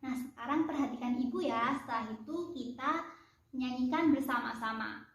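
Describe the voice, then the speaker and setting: A woman teaching a primary school class, talking slowly and clearly like a teacher. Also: sits high, fairly bright tone. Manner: slow, clear teacherly talk, sings children's song and solfege. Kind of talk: online class lesson with singing. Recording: echoey room, dull lo-fi sound.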